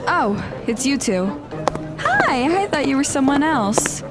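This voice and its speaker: A woman acts as a pushy teenage schoolgirl in an audio drama. Her voice is very high, with a huffy, brash edge. Music plays behind the dialogue. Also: huge pitch swings, takes it slowly, full character voice. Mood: upbeat.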